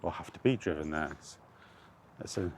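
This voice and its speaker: A man's voice: sits low, expressive, normal speed, slight background noise. A man chatting casually in a meandering, hesitant way as he records a walking vlog outdoors, often correcting himself. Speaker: vlogger. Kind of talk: casual rambling walk-and-talk chat.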